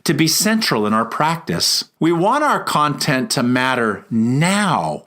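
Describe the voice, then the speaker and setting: Man reading aloud with lively intonation. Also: mid-range voice. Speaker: teacher and co-author reading own book aloud. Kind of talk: scripted audiobook-style read-aloud.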